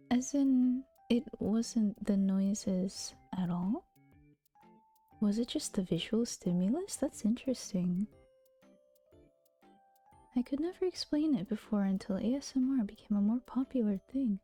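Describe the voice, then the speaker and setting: A woman streamer chatting casually in a soft, gentle, often half-whispered voice, with playful teasing and giggles, speaking close to the mic. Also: medium pitch, expressive, takes it slowly, pauses often, faint music behind. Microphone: close to a regular mic.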